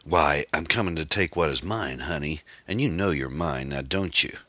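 A man's voice: extremely low pitch, moderate pace, sharp tone, dry recording, heavily acted. A man voicing a menacing, jealous gunman, a foul-mouthed ex-boyfriend who taunts and threatens in a folksy way. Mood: taunting.

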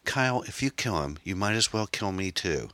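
A man voicing a frightened young woman held hostage, pleading in a soft, breathy manner. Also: deep voice, lively intonation, normal speed, dry recording, voice pushed up, full character voice. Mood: desperate.

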